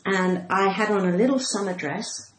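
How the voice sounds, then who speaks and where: A woman's voice: medium pitch, normal speed. An older woman with a cut-glass English accent, telling anecdotes in casual chat with a theatrical, teasing manner. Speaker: comedian and actor, interview guest. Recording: echoey room with clear background noise.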